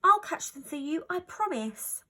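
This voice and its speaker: Woman voicing an earnest, high-pitched little boy animal. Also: big pitch swings, measured pace, pushed and bright, exaggerated voice. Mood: alarmed. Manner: earnest, fretful, exclaiming. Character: small young male forest animal, earnest, anxious, caring. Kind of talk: children's storybook character voice.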